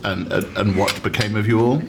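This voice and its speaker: A man's voice: on the low side, fairly even intonation, brisk, vocal fry, background noise. A man interviewing a guest at a restaurant table, prompting him and recapping his past work. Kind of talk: conversational interview.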